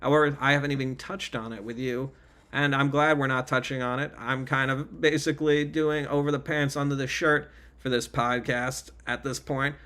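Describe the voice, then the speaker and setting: A man's voice: medium pitch, little pitch movement, normal speed, pushed and bright. A man hosting a casual podcast over a video call, rambling and joking at his own expense. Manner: rambling, self-mocking, restarts. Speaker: podcast host.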